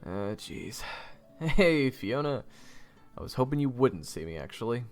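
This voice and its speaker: A man's voice: medium pitch, big pitch swings, measured pace, faint music behind. A man playing a flippant, evasive young adventurer in an audio roleplay, wry and casual with awkward hesitations. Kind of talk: audio roleplay acting with first-person narration.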